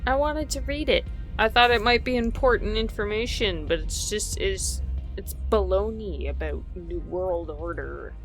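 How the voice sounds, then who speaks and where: A woman's voice: mid-range voice, a little slow. Rambling woman in casual role-play, music behind. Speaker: tabletop role-playing player. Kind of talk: casual in-character improvised dialogue. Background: background music.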